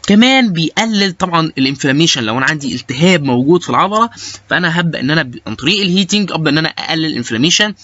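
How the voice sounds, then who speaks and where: A man's voice: a little high, lively intonation, pushed and bright, dull audio. A man with an Egyptian Arabic accent giving an informal lecture, mixing in English technical terms and often hesitating and restarting. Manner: halting, with frequent hesitation sounds and repeated starts.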